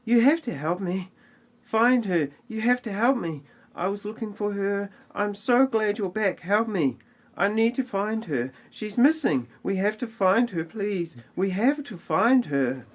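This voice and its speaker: A man's voice: high-pitched, animated, a little slow, voice pushed up. A man voicing a frantic, pleading character in a parody audio play. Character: pleading, frantic character searching for someone missing.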